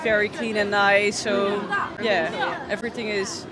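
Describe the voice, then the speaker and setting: A woman's voice: mid-range voice, fairly even intonation, measured pace, crowd noise, rough recording. A young woman from the Netherlands, a tourist, chatting in an upbeat way in a street interview. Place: busy city street outdoors. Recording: crowded street background.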